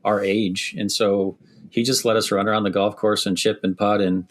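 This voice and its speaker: A middle-aged man with a Midwestern American accent speaks in a fairly low voice. He answers podcast questions thoughtfully, often restarting his sentences. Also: level tone, normal speed. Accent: Midwestern American, softened by years in Canada. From Wisconsin, USA. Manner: thoughtful, many restarts and fillers. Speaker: construction executive guest.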